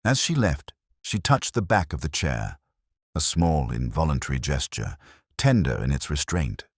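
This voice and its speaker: A man reading a scripted first-person literary detective story in a measured, melancholy, reflective manner. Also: rumbling low, very animated, husky, no room sound, clean studio sound.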